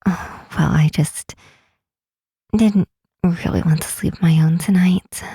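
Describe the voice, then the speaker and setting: A woman playing a timid, self-doubting young fantasy healer in an ASMR roleplay, speaking softly and haltingly in a breathy half-whisper. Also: fairly low pitch, dry recording, studio quality, full character voice. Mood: anxious, self-doubting. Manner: halting, sighing, unsure delivery. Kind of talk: ASMR roleplay, intimate and hesitant. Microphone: close, dry microphone.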